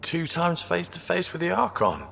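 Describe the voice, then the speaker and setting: A wisecracking crewman in a video game, with a husky voice and animated pitch. He is cheeky and teasing, heard with long reverb. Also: normal speed, full character voice. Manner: playful, teasing, wry.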